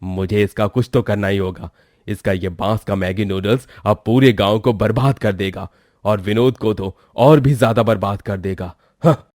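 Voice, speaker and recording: A man's voice: fairly low pitch, normal speed, darkish tone, no echo, clean studio sound, lightly acted. A man voicing a jealous, scheming village cousin in a cartoon, muttering bitter plans. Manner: bitter, plotting.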